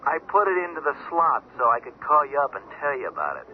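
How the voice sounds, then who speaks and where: A sardonic, beaten small-time crook in an old radio play. His highish voice rises and falls with bitter sarcasm on a muffled recording. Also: big pitch swings, fast talker, husky, exaggerated voice. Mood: bitter, sarcastic. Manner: sarcastic, aggrieved. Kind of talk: 1970s radio drama acting.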